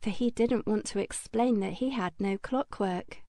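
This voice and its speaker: Mellow woman narrating a storybook. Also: mid-range voice, moderate pace, dry recording, clean studio sound. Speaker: audiobook narrator.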